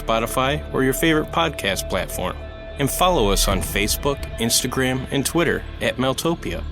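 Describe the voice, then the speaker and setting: A man working as an announcer, reading scripted podcast credits and promotion. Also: medium pitch.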